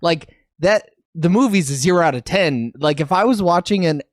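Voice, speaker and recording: A man's voice: on the high side, animated, normal speed, no room sound, studio quality. A man co-hosting a casual podcast chat. He talks bluntly and emphatically, and swears freely. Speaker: podcast co-host.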